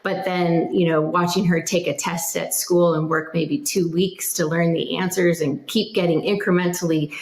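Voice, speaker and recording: A woman with a fairly low voice speaks in a clearly echoing room. She gives conversational interview answers as a parent, sounding earnest and reflective throughout. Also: fairly even intonation, moderate pace, few pauses, darkish tone.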